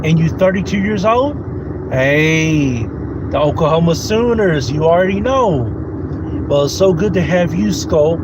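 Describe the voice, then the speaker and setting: A man in his thirties from Kentucky hosting a solo podcast, rambling and repeating himself while greeting live chat viewers. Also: a little high. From Kentucky, USA. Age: 30s. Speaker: podcast host. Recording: loud background noise.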